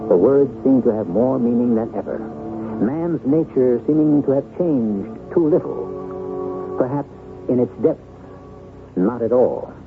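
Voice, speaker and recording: Grave radio host, narrow old broadcast. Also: male voice, medium pitch, over music. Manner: measured, deliberate, grave. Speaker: radio drama host and narrator. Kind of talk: scripted dramatic narration. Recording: phone-like vintage radio broadcast.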